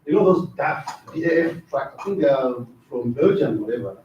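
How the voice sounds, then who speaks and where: A man's voice: medium pitch, expressive, normal speed, a little mellow, slight background noise. A middle-aged man from Zambia teaches a class in a casual lecture style, often checking in with "right?" and repeating words as he goes. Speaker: trainer. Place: training classroom. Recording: echoey room, distant mic, dull sound.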